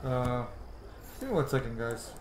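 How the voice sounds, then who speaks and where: A man's voice: medium pitch, big pitch swings, measured pace. A man in his thirties giving casual gameplay commentary as a streamer. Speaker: game streamer. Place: small room indoors, webcam view. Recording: echoey room, clear background noise.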